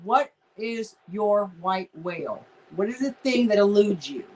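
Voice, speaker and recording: A woman's voice: medium pitch, animated, measured pace, darkish tone. A woman from Texas with a slight Texas accent, hosting a casual live chat and greeting viewers in a folksy way over a video call. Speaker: livestream host.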